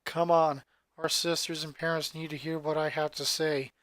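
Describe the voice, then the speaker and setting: A reader voicing a stoic, gloomy eight-year-old goth girl in a flat, hushed deadpan for a story. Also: medium pitch, moderate pace, no room sound, close to an everyday voice. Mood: somber.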